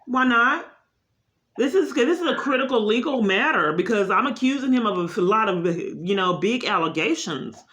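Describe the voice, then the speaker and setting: Insistent woman caller with a bright voice. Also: fairly high pitch, lively intonation, moderate pace. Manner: insistent, long-winded, repeating herself. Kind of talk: unscripted phone complaint.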